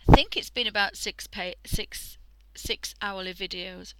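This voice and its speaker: A woman with a croaky voice from a cold, chatting casually through a drawing tutorial on a headset mic and often dropping into half-whispers. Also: medium pitch, measured pace, heavy background noise. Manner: chatty, thinking aloud, drifting into half-whispers. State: hoarse from a cold. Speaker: art livestream host.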